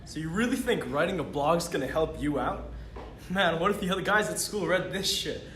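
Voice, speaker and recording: A man's voice: on the high side, animated, fairly quick, a bit bright. A man voicing a foul-mouthed, taunting imaginary teenage tormentor in a script table read, brash and belittling. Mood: taunting, scornful. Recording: roomy recording with background noise.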